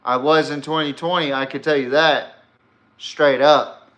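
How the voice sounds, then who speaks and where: Male podcast host, unhurried delivery with a bright, forceful edge; blunt, rambling, opinionated. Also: fairly high pitch, steady tone, dull audio. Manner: rambling, blunt, profane, emphatic. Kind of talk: casual opinionated sports and politics commentary.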